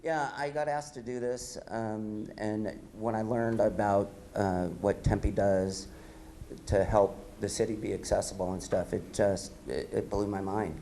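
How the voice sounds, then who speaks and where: A raspy, gravelly-voiced man in his fifties gives a relaxed keynote, loose and upbeat, with plenty of ums. His low voice has a dark, mellow tone. He speaks into a podium mic in an event hall. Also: unhurried, a little hiss or hum. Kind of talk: casual speech at an awards event. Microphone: podium microphone.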